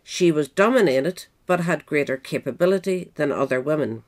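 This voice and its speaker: A woman reading a scripted history essay aloud in a measured, formal manner. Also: deep voice, animated, a little mellow. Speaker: audiobook reader.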